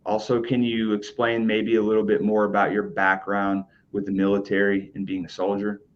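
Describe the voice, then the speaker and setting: Low-voiced host with lo-fi sound. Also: male voice, completely flat delivery, normal speed. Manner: friendly, casual questioning with fillers. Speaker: podcast host. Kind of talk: interview questions. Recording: dull lo-fi sound.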